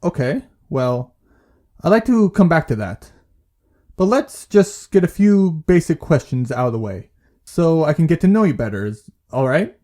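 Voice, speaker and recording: An actor as a male therapist with a dark, relaxed voice set a little high for a man. He is calm and patient, probing gently in measured questions. The delivery is that of a naturalistic audio play. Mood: gentle, professional. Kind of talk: naturalistic audio drama dialogue.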